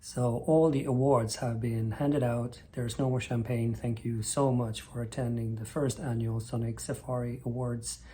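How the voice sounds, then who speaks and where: A man in his thirties speaks with a mellow, warm tone. He narrates a scripted vinyl show with measured enthusiasm, sometimes lifting his voice when excited. His pitch sits in the middle of the range for men. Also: slight background noise. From northern Sweden. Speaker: vinyl record channel host.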